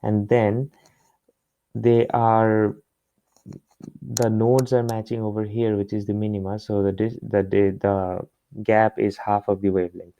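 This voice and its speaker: Slow, very mellow male tutor. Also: on the low side, little pitch movement, vocal fry, no room sound. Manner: halting, with trailing pauses and repeats. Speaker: physics tutor. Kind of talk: explaining a worked problem step by step. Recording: dull, muffled lo-fi close recording.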